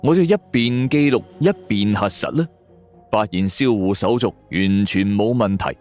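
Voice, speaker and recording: A man's voice: lowish voice, moderate pace, a little mellow. A man with a Hong Kong Cantonese accent narrating a detective story dramatically in the first person. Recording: background music under voice. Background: music.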